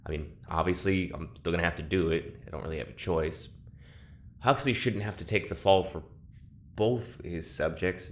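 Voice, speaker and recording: An actor playing a guarded, awkward, reluctant male test subject in an audio drama, speaking in a halting, subdued way. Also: deep voice. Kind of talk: naturalistic audio drama acting.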